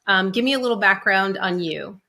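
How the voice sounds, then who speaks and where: Bright-voiced woman host. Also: medium pitch, lively intonation, a little fast. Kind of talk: hosted interview.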